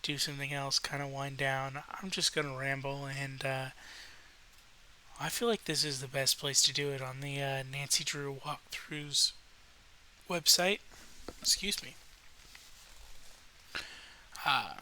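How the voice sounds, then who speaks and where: A man with a slightly rough voice, rambling in a relaxed, hesitant late-night style with frequent pauses, as a video host. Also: mid-range voice, low-quality recording. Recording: noticeable faint background hiss.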